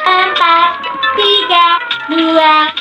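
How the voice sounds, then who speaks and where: A woman voicing a small, childlike talking warning system in a children's game, announcing in a measured way. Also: extremely high pitch, fairly even intonation, full character voice. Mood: earnest announcing. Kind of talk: scripted children's game character. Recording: echoey, distant, music behind.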